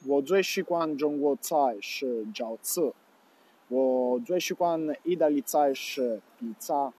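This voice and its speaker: A man's voice: mid-range voice, takes it slowly, warm tone. A young man from Italy introducing himself in simple learner phrases, filmed on a phone held at arm's length. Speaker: language student. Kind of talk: casual self-introduction in learner Chinese.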